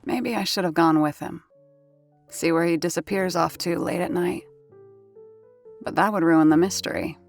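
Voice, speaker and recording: A woman's voice: on the low side, normal speed, gravelly, pauses often. An actress playing a wry woman who teases a friend in a dry way in an audio drama, with music playing behind her.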